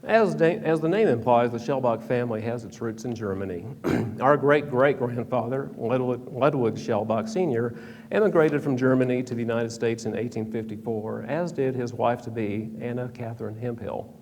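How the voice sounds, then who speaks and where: A man's voice: mid-range voice, normal speed, darkish tone. A man presenting a prepared history talk in a hall, reading from a script with small stumbles and restarts. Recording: reverberant hall, faint background hum.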